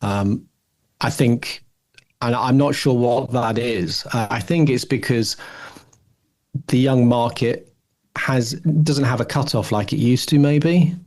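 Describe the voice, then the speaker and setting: A man's voice: mid-range voice, slow, a little mellow. Man thinking aloud in casual podcast chat. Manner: opinionated, thinking aloud with false starts. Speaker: podcast co-host. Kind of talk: casual rambling discussion.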